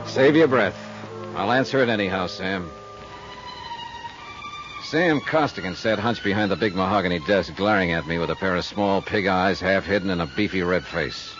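Guy playing tough american private eye, lowish dry clipped voice, wry, old 50s radio show, muffled sound. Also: moderate pace, natural voice. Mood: dry, guarded. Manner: dry, clipped, hard-boiled. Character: tough, wry male insurance investigator and narrator. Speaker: actor in lead role, narrator. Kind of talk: 1950s radio detective drama acting. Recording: dull old radio recording, faint music.

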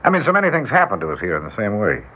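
An earnest, halting small-town mayor in a vintage radio drama. His deep-leaning voice swings widely in pitch. It comes through a thin, tinny old recording. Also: wildly swooping pitch. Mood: wistful, modest. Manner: halting, wistful reminiscing, stammering when confused. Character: earnest small-town mayor, former drifter, devoted husband, growing bewildered.

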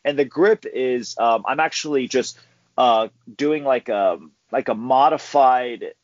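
A young man, a professional fighter, telling stories in a relaxed way as a guest on a casual podcast interview, laughing easily. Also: medium pitch, measured pace, no room sound. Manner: laid-back storyteller who laughs easily. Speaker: guest, pro MMA fighter. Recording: dull dry audio.

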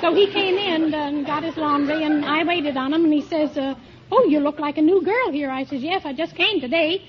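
An old, muffled radio recording, full of noise and audience roar. A middle-aged woman contestant speaks in a very high voice, earnest and guileless, chatting to the host. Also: normal speed, a crowd in the background. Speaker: quiz show contestant. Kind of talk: chatty anecdote telling and short answers. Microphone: stage microphone.

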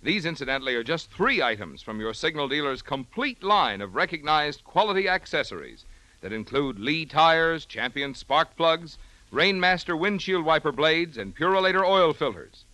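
An American man works as an old-time radio announcer. His voice is slightly high and forceful, swinging widely in pitch as he delivers scripted copy with polished emphasis. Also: expressive, moderate pace, no room sound. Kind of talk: 1940s radio announcing, scripted advertisement and credits.

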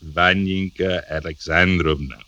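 A very sharp-voiced swaggering blackmailer. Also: male voice, deep voice, steady tone, measured pace, no echo, lo-fi sound. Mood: confiding. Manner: swaggering, emphatic. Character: boastful, domineering Russian servant turned blackmailer, drinking vodka. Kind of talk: classic radio drama acting.

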